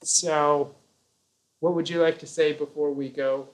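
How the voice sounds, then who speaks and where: A talkative man hosting a live stream, reading viewer questions and answering at length. His voice sits a touch high for a man. He jokes, corrects himself mid-sentence, and keeps things casual. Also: little pitch movement, unhurried. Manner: chatty, rambling, self-correcting, jokey.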